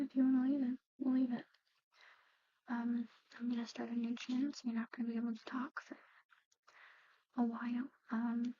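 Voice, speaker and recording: A woman doing an ASMR roleplay, speaking softly and in whispers, with a hesitant, halting, gently conversational manner. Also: a little high, lo-fi sound.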